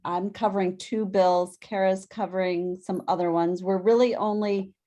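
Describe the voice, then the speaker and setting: A woman's voice: medium pitch, fairly even intonation, normal speed, warm tone. A woman briefly setting up a legislative update during a board meeting, organized and to the point, over a video call. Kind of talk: meeting report.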